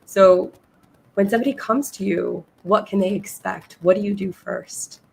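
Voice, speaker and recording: Hoarse woman podcast host, gravelly voice. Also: mid-range voice, steady tone, moderate pace, darkish tone, slight background noise, rough recording. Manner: enthusiastic, reads guest bio aloud. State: hoarse, losing her voice. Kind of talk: casual interview.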